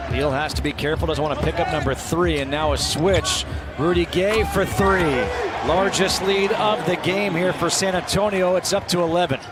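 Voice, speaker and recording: A man's voice: on the high side, fairly even intonation, moderate pace. A man calling live basketball play-by-play in short, clipped phrases that rise on big plays. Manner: clipped running calls, rising on big plays. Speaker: play-by-play announcer. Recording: arena crowd and court noise behind commentary. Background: busy basketball arena, bouncing ball.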